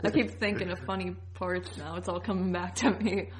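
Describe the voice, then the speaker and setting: A woman co-hosting a podcast, recapping a show in a giggly, informal, animated storytelling manner. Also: lowish voice, normal speed, a crowd in the background. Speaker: podcast co-host. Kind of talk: casual chat recapping a TV series.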